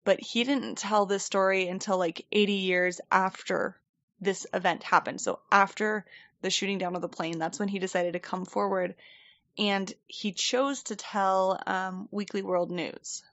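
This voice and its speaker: A woman's voice: mid-range voice, fairly even intonation, measured pace, a bit bright, no echo, muffled recording. A woman co-hosting a podcast, telling a story in a chatty, rambling way and laughing often. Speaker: podcast co-host. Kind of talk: casual storytelling chat. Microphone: desk microphone.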